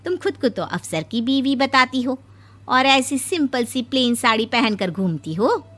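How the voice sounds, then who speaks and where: A woman's voice: high-pitched, lively intonation, unhurried, a bit bright, heavily acted. Woman acting nosy, needling village neighbour. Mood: mocking. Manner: chatty, needling.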